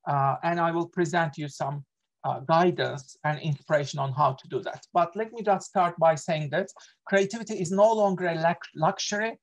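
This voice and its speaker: A man's voice: fairly high pitch, normal speed. A middle-aged man from Turkey with a Turkish accent, running an online workshop in an earnest way, with frequent fillers and self-corrections, heard over a video call. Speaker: workshop presenter, associate professor.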